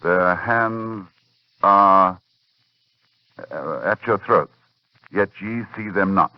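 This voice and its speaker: A man playing a learned, shaken older professor in 1940s radio horror, speaking in a halting, grave, dramatic way. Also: low voice, no echo. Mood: ominous, halting. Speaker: narrator and lead actor. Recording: muffled 1940s radio recording with music.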